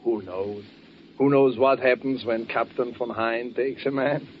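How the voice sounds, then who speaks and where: An actor playing a smooth, sly German officer who probes his companion in a 1940s radio drama. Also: mid-range voice, steady tone, a little slow, slight background noise, natural voice. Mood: insinuating. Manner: insinuating, probing, mock-friendly. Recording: muffled 1940s radio broadcast.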